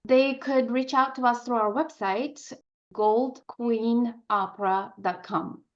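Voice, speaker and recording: A woman's voice: a little high, fairly even intonation, slow pace, dull audio. A woman speaking as an interview guest, an opera composer in conversation on the radio.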